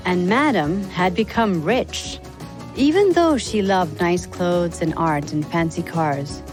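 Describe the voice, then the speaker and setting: A woman reading a scripted biographical story as a podcast narrator for young listeners. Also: lowish voice.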